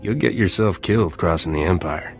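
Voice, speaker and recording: A man's voice: deep voice, brisk, faint music behind. A man playing a cocky, flirtatious smuggler captain in a video game, wry and flippant. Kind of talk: video game character acting.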